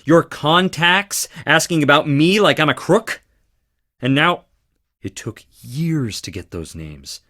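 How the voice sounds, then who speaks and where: An actor playing a charming, theatrical theater performer with dramatic flair in a video game. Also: male voice, on the high side, lively intonation, takes it slowly, bright, forceful tone, exaggerated voice. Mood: tense, bitter. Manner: theatrical, dramatic, swinging between playful and intense. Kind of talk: video game character dialogue.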